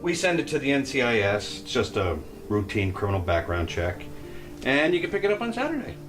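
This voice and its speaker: Actor as a lively, folksy American shopkeeper. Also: male voice, medium pitch, brisk, music in the background, close to an everyday voice. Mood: salesman patter. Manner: friendly patter, casual. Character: folksy, chatty small-town male gun shop salesman. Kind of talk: audio drama acting.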